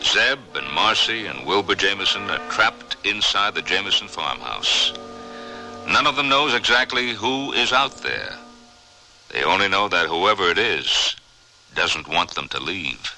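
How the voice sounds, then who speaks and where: A man's voice: sits low, expressive, a little slow, bright, forceful tone, faint music behind, low-quality recording. A man giving dramatic scripted narration for a radio Western.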